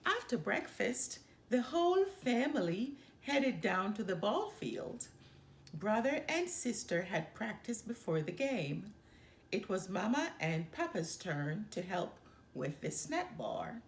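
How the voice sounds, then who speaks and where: A woman reading a children's picture book aloud in an expressive, measured storytelling manner. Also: medium pitch, vocal fry. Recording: echoey room with faint background noise.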